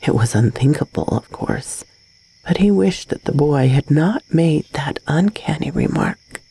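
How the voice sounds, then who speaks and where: Lady reading a spooky bedtime story, breathy n creaky, dark soft tone, measured and dramatic. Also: extremely low pitch, rough recording. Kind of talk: scripted narration of a gothic tale.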